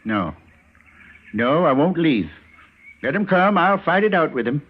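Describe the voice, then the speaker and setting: Stubborn, simple frontier farmer, muffled sound. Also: male voice, fairly high pitch, big pitch swings, breathy edge, full character voice. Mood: stubborn resolve. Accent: American. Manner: plain-spoken, halting, resolute. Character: simple, stubborn, quietly proud male frontier homesteader. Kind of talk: old-time radio western acting. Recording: dull old radio recording.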